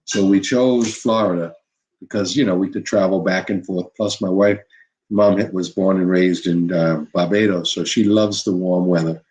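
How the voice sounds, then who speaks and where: An older man, a former basketball coach, telling stories from his career in an unhurried interview, full of "you know" and restarts. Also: low voice, fairly even intonation, a little mellow, poor audio. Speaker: former college basketball head coach, guest. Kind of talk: reflective interview storytelling.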